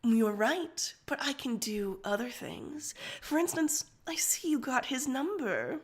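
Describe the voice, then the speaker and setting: Woman acting a dry, commanding archivist boss, higher voice. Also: lively intonation, a little slow.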